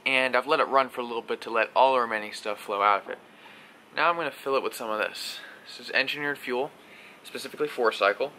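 A young man giving a casual how-to vlog while working in a workshop garage, relaxed and full of uh and um. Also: medium pitch, fast talker, a little hiss or hum. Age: young adult. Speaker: vlogger doing equipment maintenance. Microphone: action camera close up.

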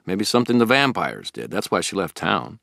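A man doing an eager young man's voice, pitched well above his own low register and bouncing lively. He talks insistently and earnestly, like a pushy friend who won't drop the subject, in a dry, close storybook reading. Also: brisk, creaky, no room sound, exaggerated voice. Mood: concerned. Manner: insistent, pleading.

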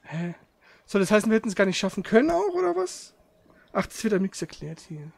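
A man's voice: high-pitched, very animated, brisk, gravelly. A man giving casual let's play commentary in a blunt, grumbling, sweary way.

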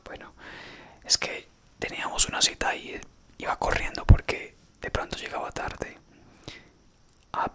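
A man whispering an ASMR roleplay as a doting, gullible boyfriend. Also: pushed and bright.

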